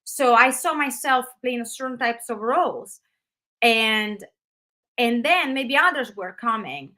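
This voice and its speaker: A middle-aged woman from Italy with an Italian accent, speaking in a relaxed, animated way with many fillers as a guest in an interview. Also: on the high side, takes it slowly. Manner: animated, loose run-on phrasing with frequent fillers. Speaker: guest, actress. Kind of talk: casual interview conversation.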